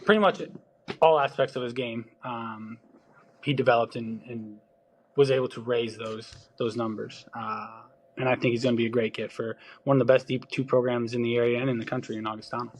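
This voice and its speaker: A man's voice: mid-range voice, fairly even intonation, a little fast, a little hiss or hum, low-quality recording. A man with a Wisconsin accent, a baseball scout talking through prospects in a casual podcast chat, loose and full of ums and restarts. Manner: loose, filler-heavy talk with frequent restarts.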